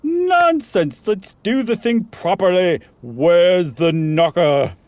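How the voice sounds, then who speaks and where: A man voicing a pompous, grandly theatrical gentleman in an exaggerated, drawn-out reading of a comic ghost story. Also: sits high, lively intonation, dry recording, voice pushed up. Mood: indignant, imperious.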